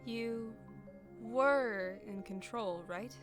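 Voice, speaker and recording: A woman's voice: on the high side, very slow. A woman playing a blunt, self-doubting female warrior in a scripted audio roleplay, informal and questioning. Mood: wary. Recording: music behind the voice. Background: background music.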